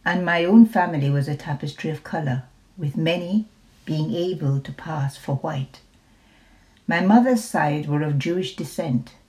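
A woman, a retired nurse reading her own memoir in a measured, deliberate way. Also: low voice, mellow, low-quality recording. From Jamaica. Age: 70s.

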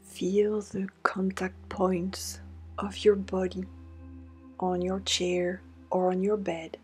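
A woman guides a meditation in a soothing, deliberate way, with breathy half-whispered phrases. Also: medium pitch, level tone, a little mellow. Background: meditation music with singing bowl tones.

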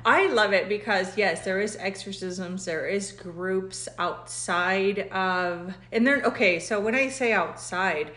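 A woman's voice: mid-range voice, slow pace, hardly any pauses, a bit bright. A woman from Mexico co-hosting a podcast, chatting casually and animatedly, laughing often and switching between English and Spanish. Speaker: podcast co-host. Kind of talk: casual bilingual chat.

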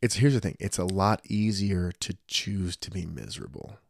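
A middle-aged man with a lowish voice co-hosts a podcast, riffing loosely and swearing freely. The sound is dry and close, with no room around it. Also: gravelly, dry recording. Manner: profane, riffing, does quick mock voices. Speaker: podcast co-host. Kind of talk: casual chat.

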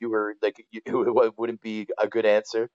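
A man in his forties with a Canadian accent, telling stories casually as a podcast guest, colloquial and animated, often checking that the listener follows. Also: fairly low pitch, normal speed, clean tone, no room sound, muffled recording. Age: 40s. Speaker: former pro hockey player, interview guest.

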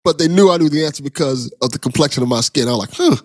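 A male podcast host with a fairly high voice and very animated intonation. He chats casually and teases with affection, in a close, dry recording. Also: racing, husky, no echo. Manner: bantering, affectionate with guest. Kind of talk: casual interview chat.

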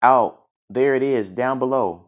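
A man's voice: on the low side, normal speed, muffled recording, pitched above the performer's own voice, natural voice. A man voicing a small, plucky male quail in a fable, bold and challenging. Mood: excited yelling. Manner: exclaiming, challenging.

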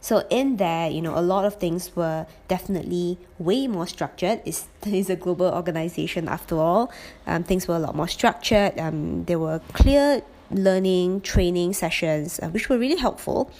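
A woman in her late twenties telling how her auditing career went, in a thoughtful, casual way with lots of pauses and fillers. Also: on the low side, darkish tone. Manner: thoughtful, with frequent um fillers and restarts. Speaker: former auditor sharing her career experience. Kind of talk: casual reflective monologue.

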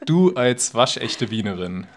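Guy co-hosting a podcast, mid pitch voice, casual chatty storytelling, likes to tease. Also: very animated, unhurried. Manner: playfully teasing. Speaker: podcast co-host.

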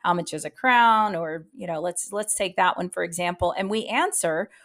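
A woman's voice: lowish voice, normal speed, fairly bright tone. A woman hosting a podcast as a practice coach, speaking straight to her listeners in an emphatic way with rhetorical questions.

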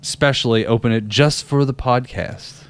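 A man's voice: medium pitch, fairly even intonation, normal speed, slight background noise. Laughing, rambling young man on a podcast. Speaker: podcast co-host. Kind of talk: casual chat.

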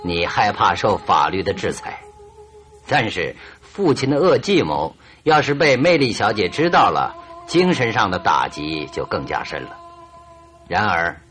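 An actor playing a shrewd, confident detective in a radio drama, reasoning aloud in a theatrical, emphatic and deliberate way. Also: male voice, on the low side, a bit bright, heavily acted. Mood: grave. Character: shrewd, confident male detective, stern yet teasing. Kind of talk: Chinese radio drama acting, expository monologue.

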